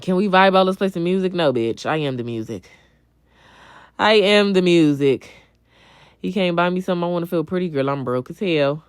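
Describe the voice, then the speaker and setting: A woman's voice: fairly low pitch, normal speed, clear, resonant tone, dry recording, studio quality. A young adult woman chatting casually on a livestream as she answers viewers, blunt, slangy and full of swearing, often repeating a phrase for emphasis. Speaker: livestream host.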